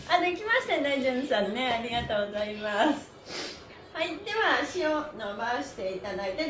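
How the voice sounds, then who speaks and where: High-pitched cheerful woman in a roomy space. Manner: cheerful, energetic, often laughing, polite presenter phrasing. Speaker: host of a livestreamed stretching class, pantomime performer. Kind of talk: upbeat exercise instruction and casual livestream chat to viewers. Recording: roomy echo, slight background noise.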